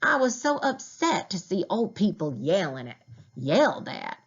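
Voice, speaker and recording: A woman's voice: mid-range voice, big pitch swings, measured pace, slightly rough, muffled recording. A woman reading a children's diary novel aloud as a teacher would, in storybook narration.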